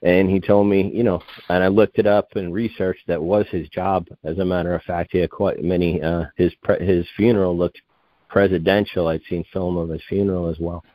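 Deep-voiced man in his fifties, rambling. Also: level tone, normal speed, highs cut off. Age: 50s. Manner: meandering, self-correcting, digressive. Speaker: guest researcher. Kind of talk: rambling conversational interview.

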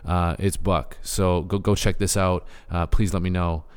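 A man hosting a podcast, talking casually and with excitement, full of fillers and hype for his guest. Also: low voice, moderate pace, big-room sound. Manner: excitable, hyping, lots of fillers. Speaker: podcast host.

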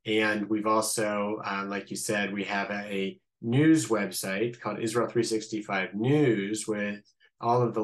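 A man's voice: lowish voice, fairly bright tone. A man from Ohio with a Midwestern American accent, a rabbi explaining things conversationally as an interview guest. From Columbus, Ohio, United States.

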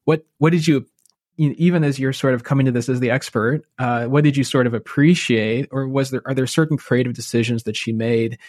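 A man's voice: medium pitch, fairly even intonation, a little fast, darkish tone, no echo, studio quality. A man hosting a conversational podcast interview, phrasing questions in a thoughtful, exploratory way. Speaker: podcast host.

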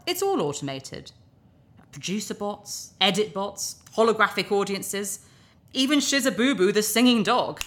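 A woman playing a smug, manipulative, warmongering talk-show host in a sci-fi audio drama, with pompous, emphatic broadcast patter. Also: mid-range voice, sing-song, measured pace. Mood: blithe, dismissive.

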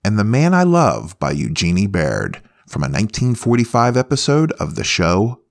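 A man's voice: lowish voice, huge pitch swings, normal speed, gravelly. A man presenting music as the host of a show. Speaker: podcast host.

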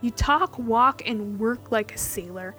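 A woman voicing a stern, foul-mouthed male pirate enforcer who curses and shouts threats in an audiobook-style story reading. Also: on the high side, a little slow, faint music behind. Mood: scornful. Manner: gruff, cursing, shouting threats.

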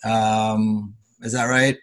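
A man's voice: fairly low pitch, flat delivery, drawn-out words, fairly bright tone. A man interviewing a guest in a casual, conversational way, searching for his words as he goes.

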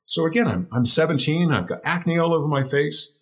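A middle-aged man with a deeper voice, a guest telling his career story in an interview, earnest and often repeating words as he searches for the phrasing. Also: brisk.

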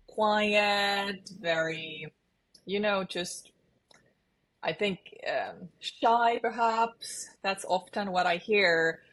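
A woman from eastern Finland with a Finnish accent speaks in a low-pitched voice. She answers conversationally, as a guest in an interview about her own experience. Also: a bit bright. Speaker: interview guest, sales leader.

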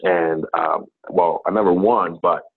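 Husky low-voiced man on a tinny line. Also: fairly even intonation, measured pace. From Ghana. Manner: rambling, many fillers and restarts. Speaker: business consultant, guest. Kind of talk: casual interview talk.

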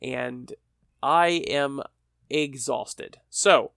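A man's voice: mid-range voice, very slow, gravelly, fairly bright tone, no echo, clean studio sound. A man hosting his own podcast, tired and stuffy with a cold, rambling with self-mocking humor. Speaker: podcast host. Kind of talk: solo podcast chat. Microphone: podcast microphone.

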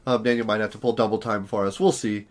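A man co-hosting a casual podcast, his voice hoarse and raspy from allergies, chatting in a rambling, self-deprecating way. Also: fairly even intonation, fast. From Southern California. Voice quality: naturally deep voice, raspy today. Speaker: podcast co-host.

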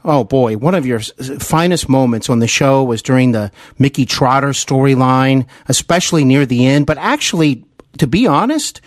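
Halting, earnest male podcast host. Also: mid-range voice, lively intonation, no room sound, studio quality. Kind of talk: interview, enthusiastic fan recollection.

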